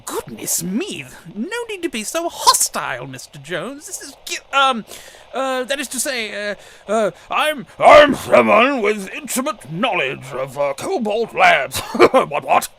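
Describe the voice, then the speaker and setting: An actor playing a nervous, fussy scientist informant in a radio drama, stammering and formally precise. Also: male voice, high voice, big pitch swings, a little slow, vocal fry, a crowd in the background, full character voice, rough recording. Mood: anxious, stammering. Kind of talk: old-time radio drama acting.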